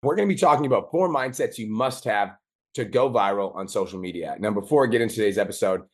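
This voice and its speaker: A raspy, hoarse man hosting a podcast, giving a blunt, profane motivational monologue and mocking excuses in quoted voices. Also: fairly low pitch, fast. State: hoarse from days of talking. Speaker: podcast host and business coach.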